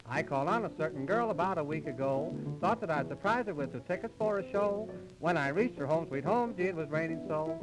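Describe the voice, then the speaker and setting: A man's voice: a little high, animated, a little fast, hardly any pauses, rough recording. An American man doing 1930s radio comedy patter and mock recitation in a folksy, mock-formal showman's manner. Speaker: comedian in a singing comedy duo. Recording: old 1930s radio transcription disc, music behind.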